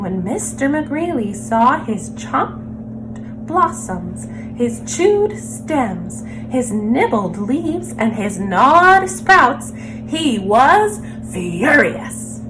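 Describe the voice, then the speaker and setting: Woman, story time host, high lively voice, unhurried, expressive picture book reading, music behind. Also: low-quality recording. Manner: expressive, drawn-out emphasis on words. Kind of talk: animated children's picture book read-aloud.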